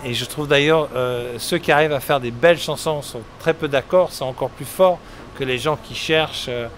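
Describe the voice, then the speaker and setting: A middle-aged man from England, a musician, answering interview questions in a relaxed, rambling way with many hesitations. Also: medium pitch, moderate pace. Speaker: musician guest. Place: outdoors at a summer concert event. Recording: outdoor street noise behind. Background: traffic and street ambience.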